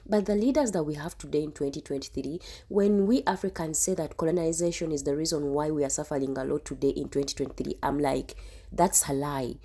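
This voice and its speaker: A woman's voice: low-pitched, a little fast, mellow, slightly rough. A young woman hosting a reaction video, talking earnestly and emphatically and often repeating herself. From Uganda. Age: young adult. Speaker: YouTube reaction host. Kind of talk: casual reaction commentary.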